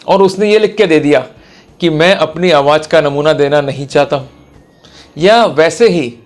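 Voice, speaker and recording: A man with an Indian accent giving an explanatory lecture as an advocate, didactic and emphatic, repeating his key points. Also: a little high.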